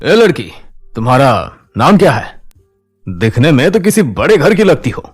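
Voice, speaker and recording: A man voicing a smooth, self-important film director in an animated moral story, with a measured, showy delivery. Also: mid-range voice, soft background music. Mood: flirtatious.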